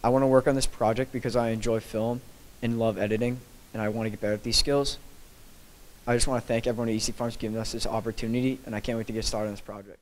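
Quick-talking teenage boy. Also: mid-range voice, fairly even intonation, poor audio. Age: teens. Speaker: student. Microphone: handheld microphone.

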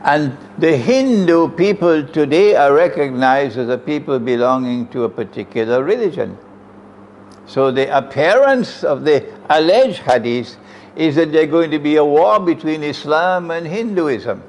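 An older man with a Trinidadian accent lectures emphatically into a close stand mic, his voice pitched a little higher than most men's. He throws out rhetorical questions to the audience. A faint hiss of room noise sits under him. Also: expressive, a little slow. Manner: emphatic, rhetorical questions, sweeping hand gestures. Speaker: Islamic lecturer. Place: room with green chairs, indoors. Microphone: stand mic close in front.

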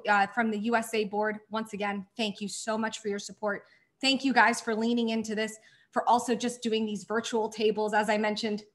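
A woman's voice: mid-range voice, fairly bright tone. A woman hosting a virtual charity gala, thanking sponsors effusively while losing her voice. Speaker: gala host. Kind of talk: scripted event hosting.

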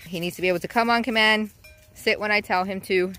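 A woman's voice: mid-range voice, fairly even intonation, fast, sharp tone, faint music behind. A woman vlogging on her homestead, chatting casually and laughing as she talks to her animals. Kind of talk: casual vlog chat. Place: outdoors in a farmyard.

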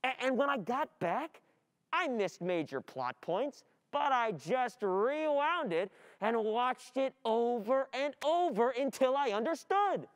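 A woman's voice: high voice, a bit bright, no room sound, heavily acted. A woman playing a frustrated, overwhelmed student in an exasperated, confessional dramatic monologue for a radio play. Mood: tense, recounting. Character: frustrated, overwhelmed female student struggling to keep up.

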